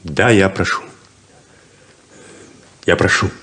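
An actor playing an ardent, theatrical drifter who sells rain, in a 1970s radio drama. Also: male voice, sits low, slow, sharp tone, heavily acted. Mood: resigned, warm. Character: ardent, theatrical drifting male rainmaker and con man.

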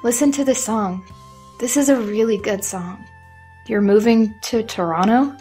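A woman's voice: on the high side, measured pace, mellow, faint music behind, natural voice. A woman voicing a dry, teasing, friendly teenage schoolgirl in a comic dub. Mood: tender.

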